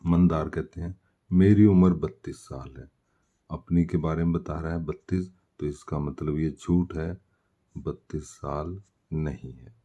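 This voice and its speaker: A man's voice: very deep voice, steady tone, measured pace, warm tone, highs cut off. A man teaching maths step by step, posing questions and answering them himself as he explains. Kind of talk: step-by-step worked-solution lesson.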